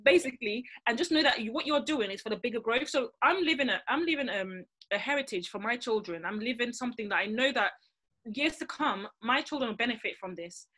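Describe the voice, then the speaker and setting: A woman's voice: a little high, husky. A woman, founder of a language school, talking emphatically in a casual video-call interview from a room at home. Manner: emphatic, animated, quick to laugh. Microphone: laptop webcam on a video call.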